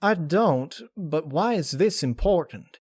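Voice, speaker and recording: A man's voice: fairly high pitch, animated, slow pace, a little mellow, husky, no echo, studio quality, voice pushed up, heavily acted. A man voicing a plain-spoken female country pony, protective and grim underneath, with a folksy, guarded manner. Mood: irritated. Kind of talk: dramatic fan-fiction character reading.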